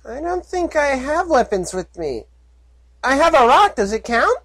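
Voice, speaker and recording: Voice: high voice, big pitch swings, measured pace, a bit bright, dry recording, voice pushed up, full character voice. A storyteller voicing a cheerful, naive young filly pony who talks in a bubbly, childlike squeal. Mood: giddy.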